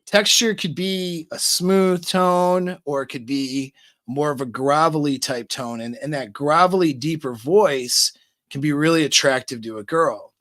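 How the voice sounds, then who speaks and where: A man's voice: unhurried, no room sound. Casual man coaching on a dry mic. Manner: demonstrates voice pitch changes, relaxed and chatty. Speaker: dating coach. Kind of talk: casual livestream coaching talk. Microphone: close microphone.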